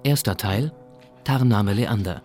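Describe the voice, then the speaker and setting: A man announces a radio play in scripted fashion. His voice sits fairly low and he reads each credit at a very measured, slow pace. Soft music plays underneath.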